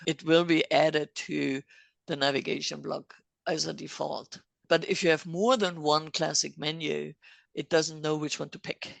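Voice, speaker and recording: A woman's voice: low voice, creaky, no echo. A woman podcast host, not a native English speaker, chatting casually and explaining things step by step with frequent laughs. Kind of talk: casual technical chat.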